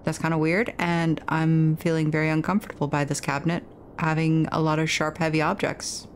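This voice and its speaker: A woman's voice: low voice, level tone, a little fast, a little hiss or hum. A woman casually commentating on a video game and reading its text aloud. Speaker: let's play streamer.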